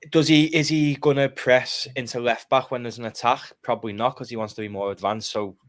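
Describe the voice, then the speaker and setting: A man's voice: mid-range voice, fairly even intonation, fairly quick, no room sound, clean studio sound. A man, chatting casually and bluntly about football on a live fan stream. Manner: blunt, opinionated, swears. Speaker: podcast panelist.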